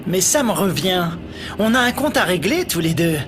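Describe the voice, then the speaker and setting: A man's voice: sits high, sing-song, normal speed, noisy background. An actor playing a cocky young male fighter who lives for a good fight, in a French anime dub. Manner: cocky, insulting. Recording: music behind.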